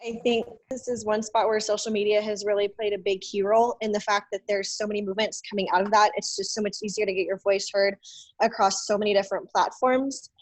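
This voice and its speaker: A young woman from Texas speaks on a discussion panel about her social work. She talks fluently and clearly. Her voice sits in a middle range. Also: mid-range voice, no echo, rough recording. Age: young adult.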